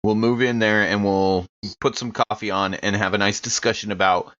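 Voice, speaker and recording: A man's voice: on the low side, steady tone, normal speed, a bit bright, lo-fi sound. A man playing in a tabletop roleplaying game, speaking casually in character.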